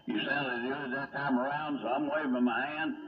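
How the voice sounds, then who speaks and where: A man's voice: medium pitch, steady tone, normal speed. A man with a Southern US accent chatting casually over radio as a CB operator, in folksy, clipped phrases. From United States. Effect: radio transmission. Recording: narrow-band AM radio, loud garbled interference. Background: other radio voices and static.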